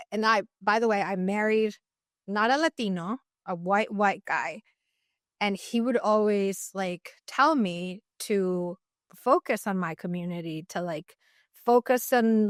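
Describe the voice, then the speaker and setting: A woman's voice: medium pitch, a bit bright, no echo, studio quality. Earnest young woman from Mexico. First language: Spanish. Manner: earnest, many "like" fillers and restarts. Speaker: guest dietitian. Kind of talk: casual interview talk.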